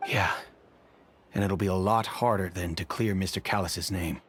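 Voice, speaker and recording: A man's voice: low-pitched, steady tone, moderate pace, fairly bright tone, slight background noise. A man playing an earnest, worried guard in a video game, speaking with urgency. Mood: concerned. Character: earnest, worried male guard bringing news.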